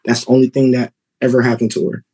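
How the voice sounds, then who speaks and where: A man in his thirties from Texas, answering a judge's questions in court politely and haltingly. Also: medium pitch, completely flat delivery, dark tone, slightly rough. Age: 30s. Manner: polite, halting, many fillers. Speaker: litigant father.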